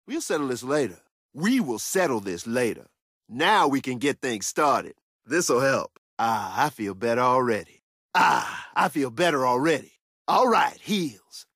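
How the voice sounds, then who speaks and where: A cocky, battle-ready soldier from a video game. He has a lively, widely swinging pitch and throws out short, punchy lines with swagger. The sound is dry and close. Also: a little slow, dry recording, full character voice. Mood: matter-of-fact. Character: tough, confident male soldier, upbeat battlefield fighter. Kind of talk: video game combat barks.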